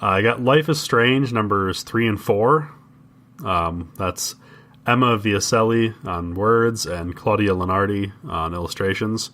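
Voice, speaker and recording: Bright-voiced man with a low pitch. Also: measured pace. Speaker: podcast co-host. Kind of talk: casual comics discussion.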